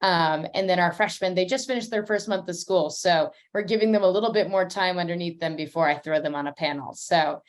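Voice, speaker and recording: A young woman hosts an online school panel, talking in an upbeat, chatty way with plenty of "um"s. Her voice sits a little low for a woman. She comes through a video call. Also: fairly quick, few pauses. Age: young adult. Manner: chatty, upbeat, many fillers. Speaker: admissions officer hosting an online panel. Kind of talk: casual online panel hosting.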